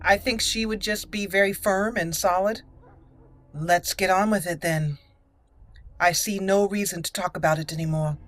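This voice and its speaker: A woman's voice: mid-range voice, fairly even intonation, moderate pace. A woman hosting a game playthrough, giving commentary and speaking as her roleplayed character. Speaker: video game roleplay host. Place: voice-over with game music behind.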